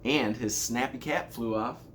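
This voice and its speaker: A man reading a children's storybook aloud like a school principal, with deliberate, expressive storytelling. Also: medium pitch, a little hiss or hum.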